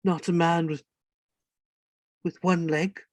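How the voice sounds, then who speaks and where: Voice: medium pitch, level tone, takes it slowly, dry recording, natural voice. An actor playing a marooned, half-mad old pirate castaway in a radio play, rambling and repeating words in rustic sailor speech. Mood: fearful. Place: indoors, remote online reading. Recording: dry video-call recording.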